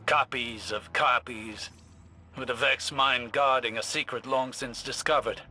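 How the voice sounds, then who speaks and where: A man's voice: mid-range voice, steady tone, a little slow, sharp tone, slight background noise. A man playing a grave, learned figure giving a formal, solemn scripted message in a video game. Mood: solemn, reflective.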